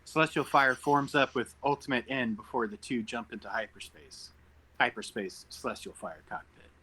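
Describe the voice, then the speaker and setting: A man's voice: medium pitch, moderate pace, sharp tone, husky, poor audio. A man reading stage directions as narrator at an amateur table read over an online call. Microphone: group online call.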